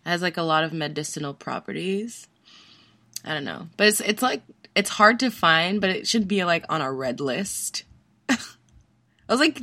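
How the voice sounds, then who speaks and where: Woman, young adult, lowish pitch, very dry close sound, casual podcast chatter, giggly. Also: pushed and bright, dry recording. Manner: chatty, laughing often, many "like" fillers. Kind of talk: casual chat about a TV episode.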